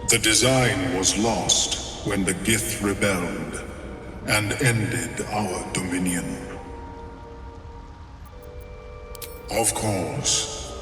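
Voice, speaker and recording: Mind flayer for a game: unhurried, polite, clinical intellectual monster, cavernous echo, faint music behind. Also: male voice, deep voice. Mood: fascinated, reflective. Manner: formal, measured, clinical. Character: calm scholarly male mind flayer, detached, politely clinical. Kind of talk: video game dialogue acting. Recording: echoing hall with long reverb, faint music.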